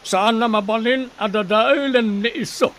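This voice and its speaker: Actor as arrogant, threatening wealthy master, Filipino accent, slightly high male voice, faint noise. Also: normal speed, a bit bright. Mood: haughty, threatening. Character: rich, haughty master threatening a poor family. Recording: noisy background hiss.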